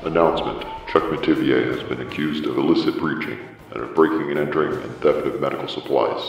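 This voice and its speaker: A man voicing a coldly officious dystopian lawman's announcement, processed like a booming public-address system. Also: fairly even intonation, fast talker, gravelly. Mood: cold, menacing. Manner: formal, officious. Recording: distant, muffled, long echoing reverb.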